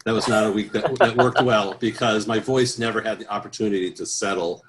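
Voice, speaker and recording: A man giving relaxed, easygoing interview answers as a guest choral bass singer, with frequent restarts and filler sounds. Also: brisk, a little hiss or hum. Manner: easygoing, many restarts and "uh"s, chuckles at his own stories.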